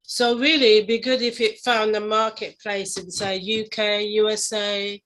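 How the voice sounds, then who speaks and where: A woman's voice: medium pitch, level tone, a little slow, fairly bright tone. A woman interviewer speaking in a conversational style, putting questions and comments to a guest.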